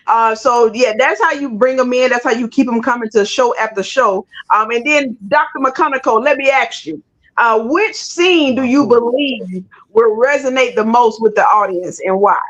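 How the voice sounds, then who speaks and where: A woman's voice: fairly high pitch, moderate pace, a bit bright. An American woman hosting an interview in a casual, folksy, affirming way over a video call. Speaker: interview host. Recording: dull, lo-fi video call audio.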